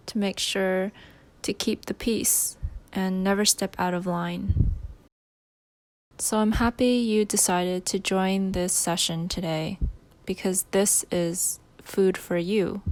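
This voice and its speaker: A woman leading a guided meditation, giving gentle instructions in short phrases with pauses between them. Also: medium pitch.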